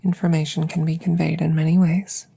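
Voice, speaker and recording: A woman voicing a calm, curious plant-like alien scientist in an ASMR audio roleplay, whispering softly and close with precise, formal, detached phrasing. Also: low voice, brisk, full character voice. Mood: clinical, calm. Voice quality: soft, breathy whispering. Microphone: close microphone.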